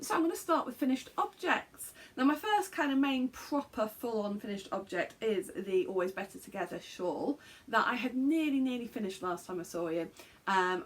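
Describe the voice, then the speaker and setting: A woman's voice: medium pitch, breathy edge. A woman in her fifties with an English accent, hosting a knitting podcast in casual chat, rambling with many fillers and self-corrections while talking to a camera in a small room at home. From England. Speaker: podcast host. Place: small bedroom craft room, indoors.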